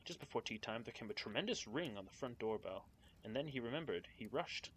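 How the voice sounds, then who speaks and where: A man's voice: mid-range voice, animated, brisk, rough recording. Young male streamer, mid-range voice, nervous, giggly casual reader, faint background hum. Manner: giggly, nervous, chats with viewers between passages. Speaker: streamer reading aloud. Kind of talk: casual live-stream book reading. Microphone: home streaming mic.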